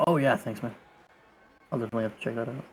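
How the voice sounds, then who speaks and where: A man's voice: medium pitch, very fast, a little hiss or hum, poor audio. A man chatting casually as a player in an online tabletop game. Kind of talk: casual chat. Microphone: online voice chat.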